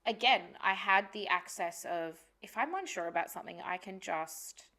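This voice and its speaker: A woman's voice: mid-range voice, lively intonation, normal speed, bright, forceful tone. Candid, sweary native Spanish-speaking woman. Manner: sweary, reflective, thinking aloud. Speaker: podcast co-host. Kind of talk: candid casual podcast chat.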